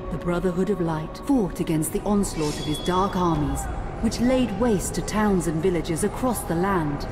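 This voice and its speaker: A woman performing as a solemn storyteller of gothic legend, narrating a game cutscene in a grave, measured, portentous manner. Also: on the low side, natural voice. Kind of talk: scripted dramatic narration. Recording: reverberant voice over loud music. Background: music.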